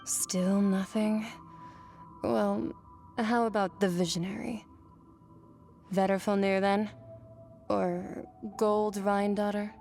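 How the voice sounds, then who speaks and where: A woman playing a calm, proud young swordswoman in a video game, blunt and detached with formal phrasing. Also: mid-range voice, slow pace, pauses often, soft background music. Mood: detached, musing. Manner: detached, matter-of-fact, formal.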